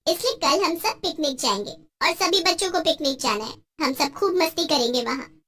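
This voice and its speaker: A protective cartoon schoolteacher, voiced by a woman, with a very high, bright voice. She speaks as if to a class of children. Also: animated, moderate pace. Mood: cheerful. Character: cheerful female schoolteacher, protective of her pupils. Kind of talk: cartoon story voice.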